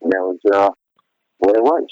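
A man's voice: deep voice, expressive, normal speed, darkish tone. A middle-aged male singer being interviewed, chatting casually and rambling with lots of "you know" fillers and restarts. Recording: dry, dull lo-fi radio sound.

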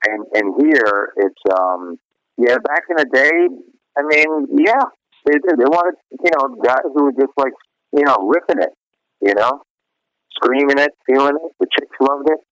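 A man's voice: mid-range voice, expressive, a little slow, a bit bright, no echo. A middle-aged male singer being interviewed, chatting casually and rambling with lots of "you know" fillers and restarts. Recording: dry, dull lo-fi radio sound.

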